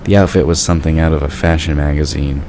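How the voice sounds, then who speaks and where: Very deep-voiced male story narrator. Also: steady tone, fast, background noise.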